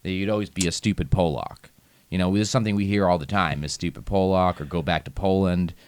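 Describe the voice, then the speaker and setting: A man's voice: sits low, fairly even intonation, fast, clean tone. An American man from Philadelphia, a podcast guest speaking thoughtfully, with some hesitation, in casual conversation. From United States, Philadelphia.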